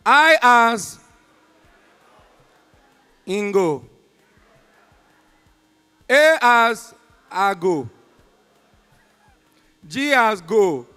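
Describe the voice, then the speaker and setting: A Nigerian man with a high voice performs stand-up on stage. He tells a story and slips into short put-on voices for each person in it, with loud, punchy exclamations. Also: lively intonation, clean tone, faint music behind. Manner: acts out callers and agents in quick put-on voices, loud exclamations. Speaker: stand-up comedian. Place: comedy club stage.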